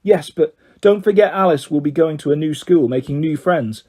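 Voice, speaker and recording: A man voicing an affectionate, wry mother, mildly exasperated and gently scolding, in a children's storybook reading. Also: fairly high pitch, normal speed, natural voice. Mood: fond scolding.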